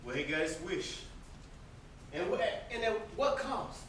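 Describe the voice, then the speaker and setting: A man's voice: a little high, very animated, unhurried, a bit bright. A young man acting in amateur stage drama as an accusing, wounded brother in grief, with an emphatic manner. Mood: weary grief. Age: young adult. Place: indoor stage, recorded from a distance. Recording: very echoey, noisy stage recording from far off.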